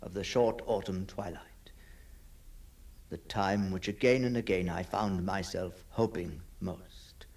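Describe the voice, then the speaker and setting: A man's voice: sits low, vocal fry, darkish tone, slightly rough, full character voice. An actor playing a restless middle-aged man obsessed with his other self, speaking in intense, literary phrases in a ghost-story drama. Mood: haunted, absorbed. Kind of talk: radio ghost-story acting and first-person narration.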